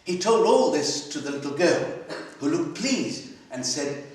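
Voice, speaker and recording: A man reading literary fiction aloud at a live event, with a measured, dramatic delivery and pauses. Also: on the high side, animated. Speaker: story reader at a literary festival. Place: library hall at a live festival. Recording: echoey hall, distant microphone.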